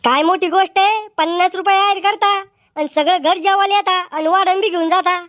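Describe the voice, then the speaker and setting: A man voicing a blunt, mocking young village man in a cartoon, with a Varhadi Marathi accent and a pitched-up voice. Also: fairly even intonation, brisk, fairly bright tone, no echo, clean studio sound.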